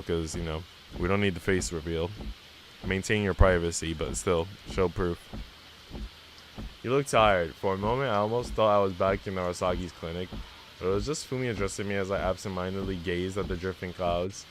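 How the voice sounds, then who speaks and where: A man's voice: deep voice, a little hiss or hum, rough recording. A man live-streaming, reading a visual novel aloud and chatting casually with viewers in a slangy, teasing way.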